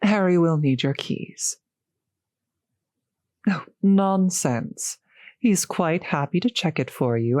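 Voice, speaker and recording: Woman playing a slow-spoken, genteel old vampire host. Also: deep voice, no echo, clean studio sound. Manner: polite, softly hesitant, old-fashioned genteel phrasing. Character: courteous centuries-old vampire host, gentle, solicitous, slightly formal. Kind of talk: roleplay audio drama, addressing the listener.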